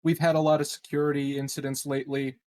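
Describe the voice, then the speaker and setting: A man's voice: fairly high pitch, flat delivery, fast talker, a little mellow. A man playing a tabletop roleplaying game, asking questions and making suggestions in casual conversation. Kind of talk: casual tabletop planning chat.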